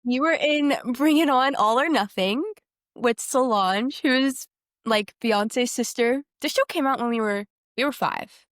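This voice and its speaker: A woman's voice: on the high side, measured pace, fairly bright tone, no echo, studio quality. A young woman co-hosting a podcast, chatting casually and putting questions to a guest. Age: young adult. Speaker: podcast co-host. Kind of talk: casual chat.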